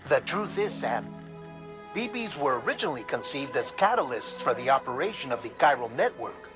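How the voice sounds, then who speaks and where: An actor playing an earnest, troubled investigator in a video game, delivering a briefing in a measured, grave and deliberate way. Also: male voice, medium pitch, sing-song, close to an everyday voice. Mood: troubled, solemn. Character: earnest, troubled male investigator reporting findings to a courier. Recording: dramatic music behind the voice.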